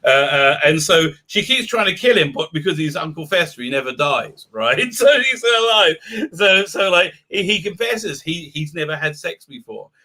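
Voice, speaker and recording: A man hosting a casual livestream, talking excitably and often stammering and repeating words. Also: on the high side, moderate pace, few pauses. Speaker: talk show host.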